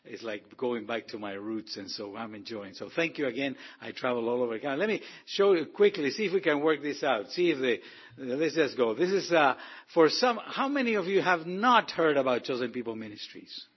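Husky man giving a chatty church talk. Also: mid-range voice, animated, a little fast, lo-fi sound. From Argentina. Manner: informal, chatty, many fillers and restarts. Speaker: guest speaker, ministry director. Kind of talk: testimony talk to a congregation.

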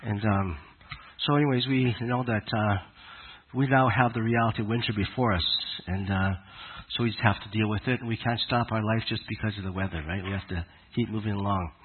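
A man with a cold and a raspy voice, giving a conversational, halting sermon to his congregation. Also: fairly low pitch, steady tone, phone-quality sound, slight background noise. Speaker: congregation leader. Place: congregation hall in Alberta.

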